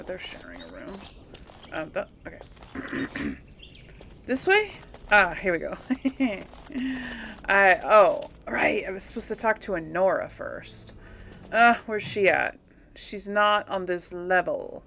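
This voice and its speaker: A woman's voice: lowish voice, creaky, fairly bright tone, slight background noise. A woman hosting a casual let's play, musing aloud informally and hesitantly as she talks and role-plays through a game. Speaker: gaming video host.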